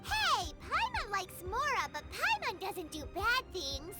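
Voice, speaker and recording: A tiny, chirpy fairy sidekick from a video game. The voice is extremely high and childlike, swinging up and down as it exclaims over loud music. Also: female voice, huge pitch swings, measured pace, heavily acted. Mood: indignant. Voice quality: squeaky, childlike. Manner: speaks of self in third person, exclaiming. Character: tiny chirpy childlike fairy companion who refers to herself by name.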